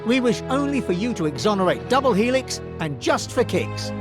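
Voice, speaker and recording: An actor playing a small, clever Gaulish warrior hero in a cartoon game, wry and assured. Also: male voice, extremely high pitch, sing-song, normal speed, music in the background. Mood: determined.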